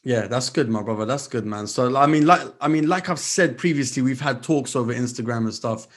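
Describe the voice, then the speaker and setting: A man with a London accent hosts a hip hop radio show. He talks to his guest in a hyped, friendly way and keeps calling him "my brother". His voice sits at a middling pitch. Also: fairly quick. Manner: hype, calls guest "my brother" and "my guy".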